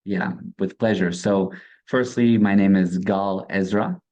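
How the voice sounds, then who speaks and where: A man's voice: low voice, steady tone, unhurried, a little mellow. A man in his thirties with a mixed Israeli-American accent, a native Hebrew speaker, talking earnestly as a guest in a casual interview. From South Africa. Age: 30s. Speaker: guest entrepreneur.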